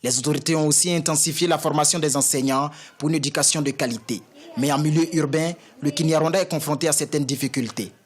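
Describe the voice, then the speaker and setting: A man reporting as a news correspondent, reading a scripted piece in a brisk, formal way. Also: fairly high pitch, fairly even intonation, breathy edge.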